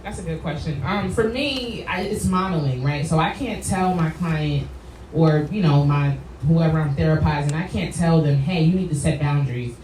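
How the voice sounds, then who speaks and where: Casting: American woman, low register, reflective and emphatic conversational guest, recorded over loud background noise. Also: normal speed. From New Jersey, United States. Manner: reflective, emphatic, often checks in with "right?". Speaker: guest, wellness coach and therapist. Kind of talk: conversational podcast interview answers. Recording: roomy echo, distant mic, loud background noise.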